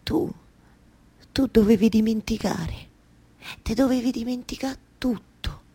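An actress playing a weary, exasperated yet tender waiting wife in a theatrical radio monologue, with a Roman accent and halting, repetitive phrasing. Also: female voice, a little high, warm tone, slightly rough. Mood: hushed, melancholy. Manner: halting, obsessively repeating words and phrases.

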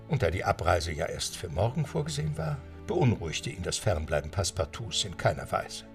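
A man's voice: lowish voice, creaky, distant mic. A man narrating an audio drama in scripted storytelling style. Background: music.

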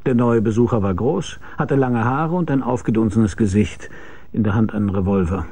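A man's voice: lowish voice, moderate pace, warm tone, natural voice. An actor narrating as a laconic detective, telling his own case with dry wit in a radio drama. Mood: matter-of-fact. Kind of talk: scripted first-person narration in radio drama.

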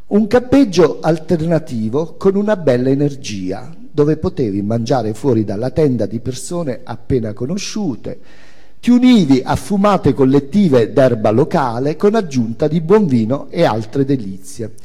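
A man's voice: mid-range voice, mellow, husky, long reverb tail. A man giving a theatrical reading of autobiographical prose as its author and narrator, with dramatic, emphatic phrasing.

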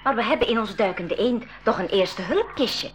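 A woman's voice: mid-range voice, animated, moderate pace, over music, lightly acted. A woman playing an observant, decisive young girl adventurer in a school radio drama. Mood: decided.

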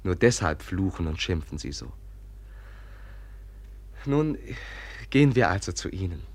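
Gentle, earnest young prince. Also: male voice, deep voice, very animated, unhurried, dry recording, heavily acted. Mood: conciliatory. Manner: polite, earnest, formal, hesitant. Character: gentle, candid, courteous young prince, mild and dignified. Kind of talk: German radio drama acting.

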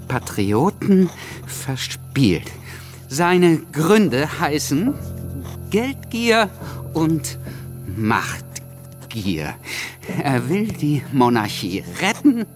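An actor playing a bitter, wounded young revolutionary journalist who stammers through broken phrases in a radio drama. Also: male voice, mid-range voice. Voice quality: stammering, halting. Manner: broken phrases, sudden stresses.

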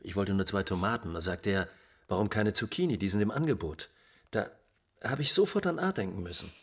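Husky, dry, needling man. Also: fairly low pitch, animated, a little fast. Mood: plain, reminiscing. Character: bereaved man, matter-of-fact and needling. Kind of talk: radio drama.

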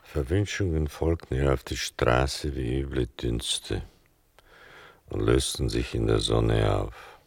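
An older man giving a literary scripted narration in a measured, wry, deliberate manner. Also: very deep voice, gravelly, dry recording.

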